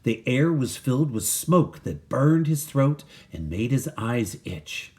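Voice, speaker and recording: A man reading a children's picture book aloud in an animated, dramatic manner. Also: medium pitch, slow. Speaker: story reader.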